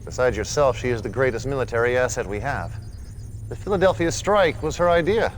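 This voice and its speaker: An actor playing a charismatic, scheming messianic cult leader in a video game, speaking in measured, theatrical oratory. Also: male voice, medium pitch, lively intonation, a little hiss or hum, close to an everyday voice. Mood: smooth, assured. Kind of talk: video game cutscene acting. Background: loud dramatic music.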